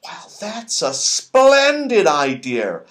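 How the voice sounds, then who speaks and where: A man's voice: high voice, wildly swooping pitch, drawn-out words, fairly bright tone, voice pushed up, heavily acted. A man voicing a kindly, worried magical tree-dwelling fellow in a children's storybook. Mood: admiring.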